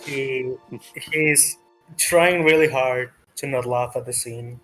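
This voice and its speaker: Slow-paced male game master. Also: mid-range voice, fairly bright tone, poor audio. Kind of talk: improvised tabletop game narration. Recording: music playing behind.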